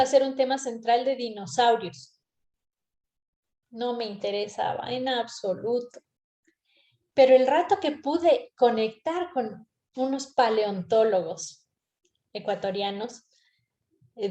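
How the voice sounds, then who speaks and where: A woman's voice: a little high, darkish tone, roomy sound. A woman teaching a storytelling workshop over a video call, earnest, repeating phrases for emphasis.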